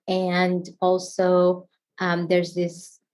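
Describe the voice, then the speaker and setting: A woman's voice: fairly low pitch, drawn-out words. Chatty woman. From Dominican Republic. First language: Spanish. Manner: chatty and expressive, laughs often. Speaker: author guest. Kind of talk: casual interview answers.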